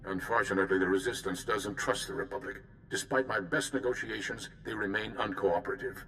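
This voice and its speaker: A calm Jedi mission leader in a video game. His voice is low, his phrasing formal and measured. Faint music plays behind him. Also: poor audio. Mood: measured, serious.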